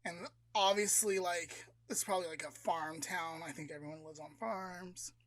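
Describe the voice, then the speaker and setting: A man's voice: on the high side, fairly even intonation, normal speed, a bit bright, no room sound. A man with an American accent co-hosting a podcast, casually telling a story in a conversational, approximate way. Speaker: podcast co-host.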